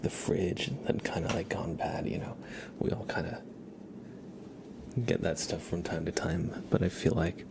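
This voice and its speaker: Male ASMR streamer, lowish creaky voice, gentle rambling chat drifting into whispers, noticeable background noise. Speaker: ASMR livestream host. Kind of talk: soft-spoken ASMR with casual livestream chat.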